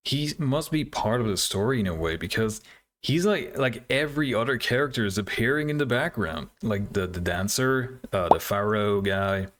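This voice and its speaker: A man's voice: lowish voice, expressive, moderate pace, fairly bright tone, slight background noise. A man hosting a casual reaction video, thinking aloud in hesitant fragments. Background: game trailer music.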